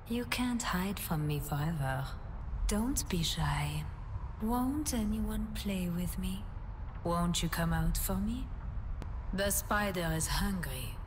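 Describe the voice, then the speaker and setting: A cold, predatory female assassin from a video game, with a French accent. Her breathy, low voice is seductive and menacing, and she takes her words slowly. It rings with long reverb over game ambience. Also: noisy background. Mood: mocking, playful. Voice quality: breathy, hushed. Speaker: game character voice.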